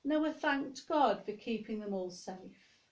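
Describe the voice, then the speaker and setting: Casting: female children's storyteller, higher-pitched, very unhurried, expressive, drawn-out emphasis, chatty asides to little listeners. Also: highs cut off. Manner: expressive, addressing young listeners directly. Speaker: minister reading a story to young children.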